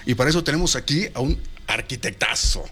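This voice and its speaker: A man's voice: medium pitch, animated, fast, pushed and bright. A man hosting a radio talk show, chatty and enthusiastic, often talking over his guest. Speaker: radio host.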